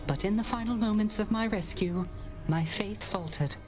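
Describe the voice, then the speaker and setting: A woman's voice: mid-range voice, normal speed, over music, lightly acted. A woman voicing a melancholy, sensitive bard shade in a video game, with hesitant, flowery phrasing. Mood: wistful. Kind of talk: dramatic video game voice acting.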